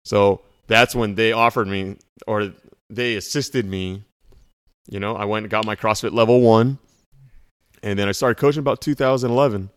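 A man's voice: lowish voice, steady tone, moderate pace. A man being interviewed as a new fitness coach, friendly and easygoing, often saying "like" and "you know". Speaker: CrossFit coach, guest. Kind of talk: casual interview chat.

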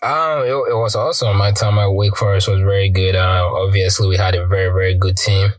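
A man's voice: sits low, fairly even intonation, fairly quick, no echo. A young man from Ghana, a pro soccer player, talking casually in an interview with many ums and restarts. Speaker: guest, professional soccer player.

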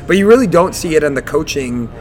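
A man's voice: fairly high pitch, lively intonation, fast. A young man interviewing coaches, speaking casually and filling his questions with "like". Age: young adult. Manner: casual, many "like" fillers.

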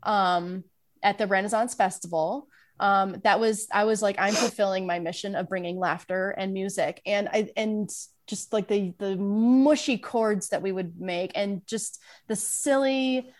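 A woman's voice: medium pitch, steady tone, measured pace. A woman chatting playfully in a casual podcast conversation, joking and restarting her sentences. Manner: playful, jokey, lots of restarts and fillers. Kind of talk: casual chat.

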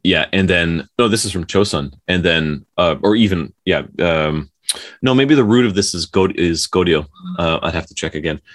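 A man with a low voice chats as a podcast co-host. He retells folktales informally, feeling his way through the details and often correcting himself. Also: moderate pace.

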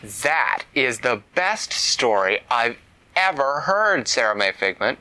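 A man voicing an admiring young female friend who speaks brightly and eagerly. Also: mid-range voice, sing-song, slow, exaggerated voice.

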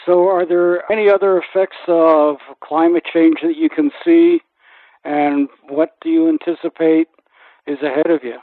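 A man hosting a conversational radio interview, putting polite, halting questions, heard through a narrow-band channel. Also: a little high, fairly even intonation, no echo.

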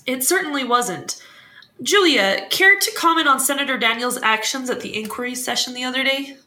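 Female livestream host for a fiction podcast: crisp presenter polish, bright and fairly high. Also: expressive, moderate pace, a little hiss or hum. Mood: concerned. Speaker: host taking calls. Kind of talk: scripted fiction podcast acting as talk-show host.

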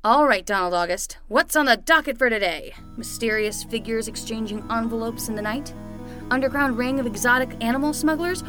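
Woman as a high-voiced, teasing teenage babysitter. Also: a little fast, music in the background, close to an everyday voice. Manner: teasing, playful. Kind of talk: fiction podcast acting.

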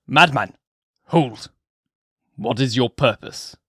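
A sinister, bitter old man, as a male narrator puts him on who drags his words out slowly and keeps them low and threatening. The sound is dry and close. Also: sharp tone, husky, no echo, heavily acted. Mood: urgent.

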